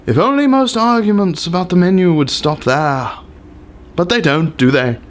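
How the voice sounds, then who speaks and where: A man's voice: high-pitched, normal speed. A man giving a pompous, theatrical dramatic reading of an essay with exaggerated emphasis.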